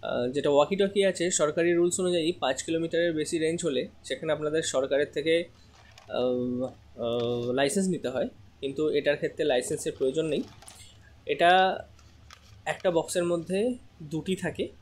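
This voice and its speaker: A man with a slightly high voice presents a product in a casual, explanatory way. He talks as a seller walking viewers through features, often pausing with filler sounds as he goes. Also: a little mellow.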